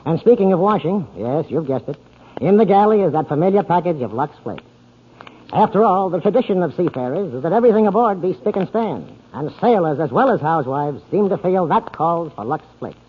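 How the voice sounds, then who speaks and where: A man's voice: on the high side, big pitch swings, brisk, breathy edge. A man hosting and narrating a 1940s radio drama in a polished, theatrical announcer style. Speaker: radio show host and narrator. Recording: tinny 1940s radio broadcast recording.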